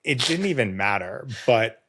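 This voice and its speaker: A man hosting a podcast in casual conversation, his voice slightly hoarse with a touch of vocal fry, earnest and reflective. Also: medium pitch, expressive, normal speed, fairly bright tone. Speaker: podcast host.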